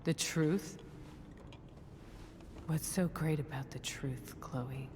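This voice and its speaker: A woman playing a broken, guarded mother in a game drama, speaking in a weary, deliberate way with bitter resignation. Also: low-pitched, a little mellow, in a large hall, slight background noise. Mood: bitter, resigned. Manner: weary, deliberate, clipped phrases. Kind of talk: naturalistic dramatic game acting.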